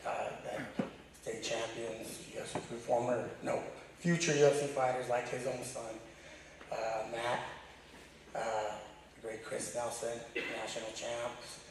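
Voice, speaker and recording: Loud male coach, middle-aged, creaky voice, emphatic storytelling, far from the mic, big room echo. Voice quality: loud, booming. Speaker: high school wrestling coach giving a tribute. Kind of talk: informal memorial speech. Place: large echoey room at a high school. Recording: very echoey hall, distant, faint noise.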